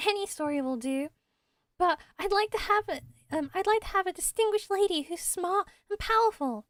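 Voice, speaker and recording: A woman voicing a bright, eager little village girl who loves stories, in a game. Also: very high-pitched, expressive, moderate pace, dry recording, clean studio sound.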